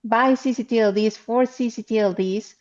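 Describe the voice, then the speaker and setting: A woman from Guatemala with a Guatemalan Spanish accent, presenting remotely at a conference in a halting, explanatory way. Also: a little high, steady tone, a little mellow. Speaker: council chair speaking as panelist. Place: remote, on a video call. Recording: slightly dull video-call audio.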